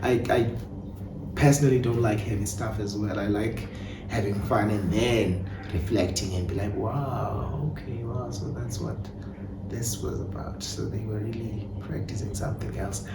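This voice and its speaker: Echoey distant recording, man, South African accent, playwright in an interview, animated storyteller, noisy background. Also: fairly low pitch, moderate pace. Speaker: playwright and director being interviewed. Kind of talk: conversational interview answers. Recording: echoey room, distant mic, clear background noise.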